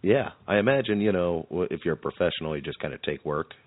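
Deep voiced podcast guy chatting, kinda halting n self-deprecating, muffled phoney sound. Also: animated. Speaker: podcast host. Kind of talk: casual interview and chat.

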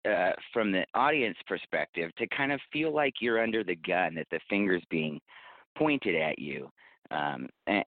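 Guy with a deep voice explaining stuff in an interview, measured, dry phone sound. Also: no room sound. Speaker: podcast creator, interview guest. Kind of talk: thoughtful conversational interview. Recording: tinny phone-like line, dry.

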